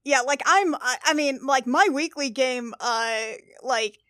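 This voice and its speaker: A woman's voice: high-pitched, a little slow, a bit bright, no room sound, studio quality. A woman who plays in a tabletop podcast, chatting casually and playfully in a group, often repeating words for emphasis. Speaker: podcast cast member, tabletop player.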